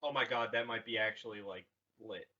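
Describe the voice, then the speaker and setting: A young man tells rambling, self-mocking stories while co-hosting a casual podcast. His voice is bright and edged with tension, and the audio is dull and lo-fi. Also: lowish voice, fairly quick. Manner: rambling, self-deprecating storytelling. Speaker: podcast co-host. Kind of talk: casual chat.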